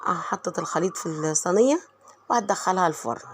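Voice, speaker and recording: Hoarse, chatty Egyptian woman vlogger. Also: lowish voice, quick pace, a little hiss or hum. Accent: Egyptian Arabic. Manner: warm, chatty, talks straight to viewers. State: hoarse from a cold. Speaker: home vlogger and mother. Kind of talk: chatty voice-over narration of home cooking.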